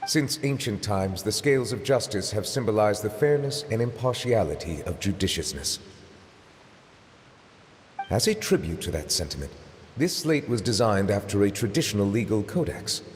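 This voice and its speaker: A stately male judge from a video game, voiced by a man, speaking in a low, composed voice. He chooses every word with formal precision and measured calm. His humor is dry. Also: a little hiss or hum, natural voice.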